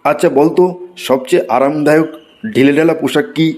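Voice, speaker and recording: A man voicing an exasperated, excitable Bengali schoolteacher in a comedy cartoon, theatrical and given to exclaiming. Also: a little high, brisk, natural voice. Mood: questioning. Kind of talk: comic cartoon voice acting.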